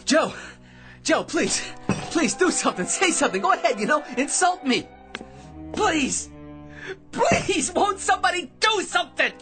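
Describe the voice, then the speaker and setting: Rambling everyman, swinging wildly in pitch. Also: very animated, measured pace, music in the background, heavily acted. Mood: desperate, pleading. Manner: rambling, stammering, nervously chattering. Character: hapless ordinary man, cocky then frantic and pleading. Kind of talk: radio drama acting.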